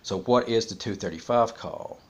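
A man in his forties with a fairly low voice talks off the cuff in a room at home. His delivery is casual and halting, as if working through his reasoning aloud into a device's built-in mic. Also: muffled recording. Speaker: vlogger discussing a criminal case. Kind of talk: casual, unscripted monologue. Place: small room at home, indoors.

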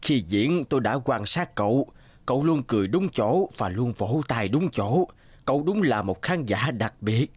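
A man voicing a kindly, wistful old puppeteer in a children's cartoon, measured and courteous. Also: mid-range voice, expressive, slightly rough, no room sound. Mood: warm.

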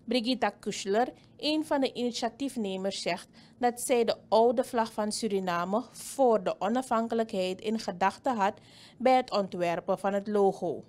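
A woman reading a scripted news report in a steady, even voice. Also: mid-range voice, moderate pace.

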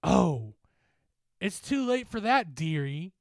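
A man voicing a menacing supernatural specter in a horror story, taunting and unhurried. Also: high voice, big pitch swings, creaky, no echo, studio quality, pitched above the performer's own voice, full character voice. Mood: gloating, threatening. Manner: menacing, taunting, drawn-out address.

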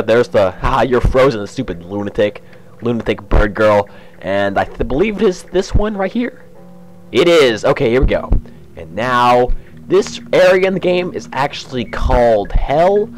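A young man with a cold and a slightly raspy voice, chatting casually as he narrates a game walkthrough. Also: medium pitch, expressive, moderate pace, faint music behind, rough recording. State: has a cold. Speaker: let's play host. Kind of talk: casual gameplay commentary.

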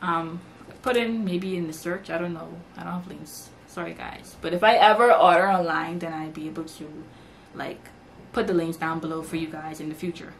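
A woman's voice: on the low side, normal speed, a little hiss or hum. A young woman from the Bahamas, chatting casually to camera in her bedroom as she shows off baby shower gifts. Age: young adult. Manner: chatty, lively, talks with her hands. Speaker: vlogger. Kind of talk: casual haul vlog. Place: bedroom, indoors.

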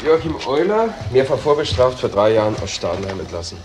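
An actor playing a terse assistant police detective in a crime drama. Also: male voice, medium pitch, very animated, brisk, lightly acted. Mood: matter-of-fact. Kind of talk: German TV crime drama dialogue. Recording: clearly noisy track with clicks.

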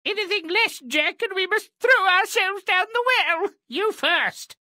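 A woman's voice: extremely high pitch, lively intonation, a little slow, sharp tone, no echo, clean studio sound, heavily acted. A woman voicing a poor, anxious mother in a fairy-tale video game, melodramatic and fretful. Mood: scolding, despairing. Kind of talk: video game character acting.

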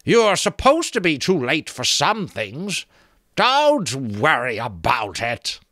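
A man voicing a spiteful undead skull with a creaking, rattling voice and slow, teasing delivery, close to the mic. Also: on the high side, wildly swooping pitch, sharp tone, dry recording, pitched above the performer's own voice, exaggerated voice. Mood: mocking.

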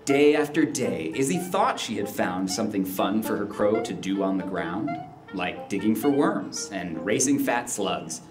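A male narrator reads a rhyming storybook aloud in a measured, expressive way. His voice sits in an echoey live room with guitar music behind it. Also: fairly low pitch. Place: live stage in a library room.

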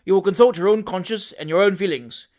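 A man's voice: high voice, lively intonation, brisk, a bit bright. A man voicing a pompous, taunting Victorian lawyer in an audiobook, with emphatic oratorical delivery and false politeness. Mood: pompous indignation. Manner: oratorical, emphatic, sternly self-important.

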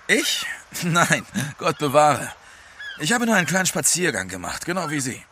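A man's voice: fairly high pitch, huge pitch swings, normal speed, sharp tone, a little hiss or hum, full character voice. An actor playing a cheeky, streetwise young man in an audio drama.

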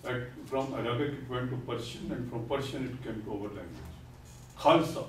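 An older man giving a classroom lecture, explaining terms slowly and deliberately and repeating key words, standing at a podium. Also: mid-range voice, a little hiss or hum. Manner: gestures while speaking at a podium. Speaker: lecturer on Sikhism. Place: classroom with whiteboard. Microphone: far camera. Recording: echoey classroom from far off.

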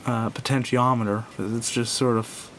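A man's voice: flat delivery, moderate pace, a bit bright. A man giving a casual, unscripted hands-on product review in a hesitant, rambling, self-correcting way. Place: indoors at a wooden table. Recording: noisy home recording with low rumble.

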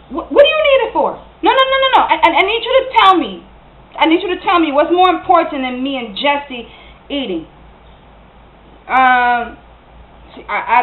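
A high-pitched young mother played by a woman confronts her partner in a dramatic stage monologue. She is hurt and fed up, stumbling over her words as she pleads. The sound is tinny and echoes in the room. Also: natural voice. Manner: halting, stammering, pleading. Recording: tinny band-limited audio, roomy echo.

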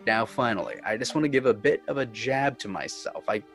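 A man's voice: mid-range voice, brisk, a bit bright. A man working as narrator and host of a fan script reading. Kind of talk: reading screenplay directions, host outro. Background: music.

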